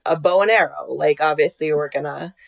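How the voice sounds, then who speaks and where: A woman's voice: low voice, brisk, creaky. A woman talking casually as an interview guest about her creative work, over a video call. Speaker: podcast creator being interviewed.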